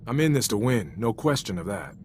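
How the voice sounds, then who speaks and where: A man's voice: lowish voice, very animated, brisk, a little mellow, slight background noise. A man playing an earnest, loyal Republic soldier in a video game, speaking frankly in an informal, sincere military manner. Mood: reflective, resolute. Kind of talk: cinematic video game voice acting.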